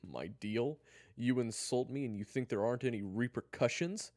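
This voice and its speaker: A man voicing a hot-headed, sarcastic schoolboy with a forceful, confrontational manner in an audiobook-style story. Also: low-pitched, big pitch swings, normal speed, gravelly, no room sound, clean studio sound, voice pushed up, full character voice. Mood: threatening, angry.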